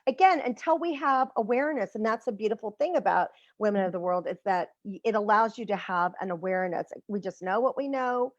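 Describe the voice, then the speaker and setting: A woman from Central Texas who hosts the interview, talking and asking questions in a relaxed way over a video call. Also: mid-range voice, brisk, few pauses, dry recording, muffled recording. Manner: talkative, asks questions. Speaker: host. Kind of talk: casual interview chat.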